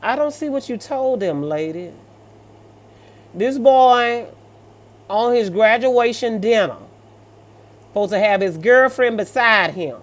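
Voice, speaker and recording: A woman's voice: on the high side, lively intonation, slow pace, long pauses. Sassy, motherly woman. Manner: motherly, sassy, colloquial, addressing listener as baby. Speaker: host of a story-reaction show. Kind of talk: casual reaction commentary, reading stories aloud.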